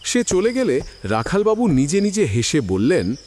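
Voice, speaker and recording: A man narrating an audio drama in scripted, expressive storytelling. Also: a little high, normal speed, a little hiss or hum.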